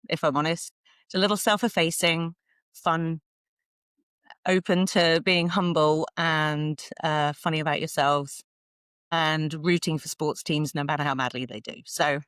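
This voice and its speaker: A woman's voice: deep voice, fairly bright tone, clear, resonant tone, no room sound. Chatty southern English woman, dry close recording. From south of England, London suburbs. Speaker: podcast guest. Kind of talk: casual conversational interview.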